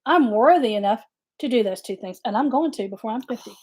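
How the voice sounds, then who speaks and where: A woman's voice: on the high side, lively intonation, fast talker, a little mellow, breathy edge. A woman in her late forties co-hosting a casual live chat, talking candidly with plenty of joking. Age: late 40s.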